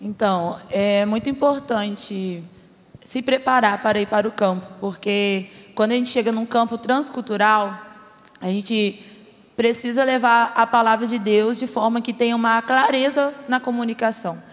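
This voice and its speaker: A woman's voice: fairly high pitch, fairly even intonation, moderate pace. A young woman from Rio de Janeiro state, a missionary student, speaking earnestly about her training. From Rio de Janeiro state, Brazil. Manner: earnest, repetitive explaining. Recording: echoey hall sound.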